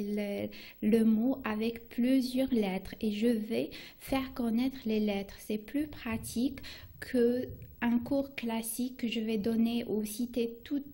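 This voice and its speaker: A woman whose first language is Arabic, teaching a beginner Arabic lesson in French on the radio, talking in a halting way with many restarts and fillers. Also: a little high, steady tone, mellow.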